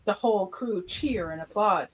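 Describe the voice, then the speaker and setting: A woman's voice: medium pitch, moderate pace, rough recording. A woman reading scripted stage directions as the narrator of an amateur radio play.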